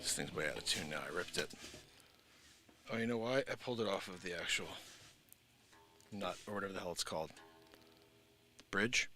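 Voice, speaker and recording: Excitable man, bright voice, livestreaming music. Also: sits low, normal speed, long pauses. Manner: excitable, rambling, slangy. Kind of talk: casual livestream chat between guitar playing.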